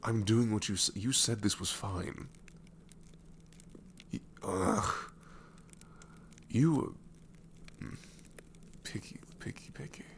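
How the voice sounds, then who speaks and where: A man's voice: lowish voice, takes it slowly, creaky, pauses often, a little hiss or hum. A man voicing a sassy, married male shadow demon in an ASMR roleplay, talking sarcastically and drawling, often dropping into whispers. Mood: grumbling.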